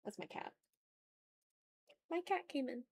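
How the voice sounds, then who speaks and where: A woman with a fairly high voice, recorded very dry and close. She chats informally like a livestream host absorbed in drawing, muttering self-critically to herself and to her viewers. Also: expressive, a little slow, creaky, darkish tone, husky, no echo. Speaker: streamer hosting an art livestream. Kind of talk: casual chat while drawing.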